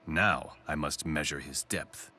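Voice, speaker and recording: A man's voice: a bass voice, measured pace, pushed and bright, slight background noise, exaggerated voice. A man playing a haughty, commanding man of authority in a video game, stern and condescending.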